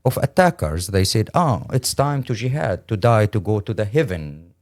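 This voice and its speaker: A middle-aged man from Syria with a Syrian Arabic accent, speaking English as a second language in an earnest, halting, emphatic interview account. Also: fairly low pitch, big pitch swings, darkish tone. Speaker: interview guest, lawyer and humanitarian.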